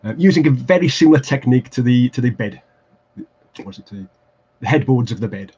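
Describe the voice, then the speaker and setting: A man's voice: medium pitch, animated, a little fast, mellow, husky, muffled recording. A man with an English Midlands accent hosting a casual craft livestream, rambling and self-deprecating with lots of fillers and restarts as he works at his desk. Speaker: livestream host building tabletop terrain. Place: home craft desk, indoors.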